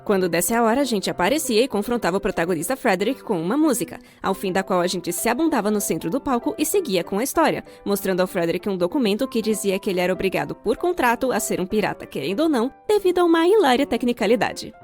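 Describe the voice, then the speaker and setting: A woman's voice: medium pitch, sing-song, fast talker, hardly any pauses, a little mellow, faint music behind. A young adult woman with a Brazilian accent giving scripted, comedic storytime narration in a theatrical, wry way. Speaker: storyteller, fan-dub voice actor.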